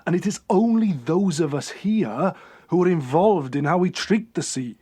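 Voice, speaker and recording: A man's voice: high-pitched, expressive, moderate pace, dark tone, husky, dry recording. A man acting an earnest, persuasive parliament delegate in a radio play, speaking formally.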